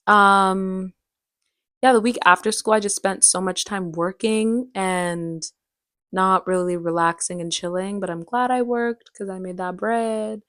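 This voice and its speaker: A young woman telling personal stories in a casual vlog style, with an animated, slangy, playfully dramatic way of talking. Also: mid-range voice, dry recording, clean studio sound. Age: young adult. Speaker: vlogger and aspiring actress. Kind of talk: casual chatty vlog storytelling.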